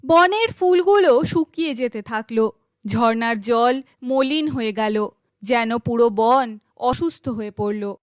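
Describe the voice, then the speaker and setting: High-pitched woman narrating a fairy tale. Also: animated, a little slow, no echo.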